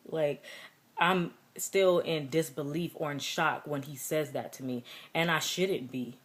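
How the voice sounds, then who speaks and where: A young woman with a deep voice, talking earnestly and reflectively in a personal vlog, with many fillers. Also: unhurried. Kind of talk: personal vlog contest entry.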